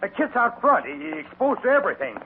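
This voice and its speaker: An actor playing a nervous, cowardly, crooked doctor, stammering and pleading in a 1950s radio western. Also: male voice, very high-pitched, very animated, quick pace. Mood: anxious, protesting. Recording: very noisy, tinny old radio transcription.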